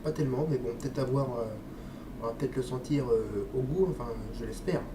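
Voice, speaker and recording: A man with a slight head cold talks his way casually through a review, hesitating and filling gaps with little interjections. He sits back from the microphone, so his middle-pitched voice carries a roomy echo over a steady hum of background noise. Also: fairly quick. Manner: chatty, hesitant, says "les amis" and "hop" often. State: stuffy with a cold, coughing. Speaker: vape liquid reviewer. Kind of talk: casual product review vlog. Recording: echoey room, distant mic, noisy.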